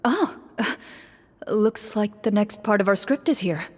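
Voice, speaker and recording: A woman playing an easygoing young woman in a video game who narrates an adventure, gentle, composed and slightly husky. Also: medium pitch, lively intonation, a little slow, slight background noise, lightly acted. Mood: reassuring. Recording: long reverb, faint music behind.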